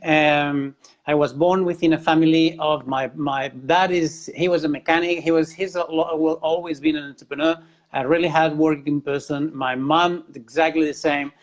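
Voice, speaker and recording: A man's voice: fairly high pitch, steady tone, normal speed. A man from Catalonia with a Spanish accent, a guest in a casual interview, telling his life story earnestly with frequent restarts and repeated words. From Spain, Catalonia.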